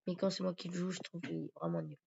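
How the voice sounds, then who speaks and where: A man's voice: high-pitched, very animated, fast, dark tone, husky. A man with a cold and a sore throat, rambling hesitantly through casual commentary over his gameplay. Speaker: gaming YouTuber. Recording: dull lo-fi recording.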